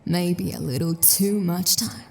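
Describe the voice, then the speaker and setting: A woman's voice: lowish voice, a little slow, creaky, darkish tone. A woman playing an impatient, businesslike detective with a dry, curt manner in a sci-fi audio drama. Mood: dryly annoyed.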